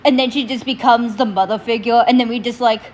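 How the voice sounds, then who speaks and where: A young woman with a stuffy nose and sore throat, hosting a casual reaction video in an animated, opinionated way. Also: fairly high pitch, fast. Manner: animated, opinionated ranting to viewers.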